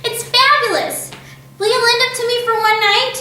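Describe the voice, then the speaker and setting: A clever little girl with a high, lively voice, polite and eager, as a woman reading aloud puts her on. The sound rings in an echoey room, caught from a distance. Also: normal speed, a little hiss or hum, exaggerated voice. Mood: excited.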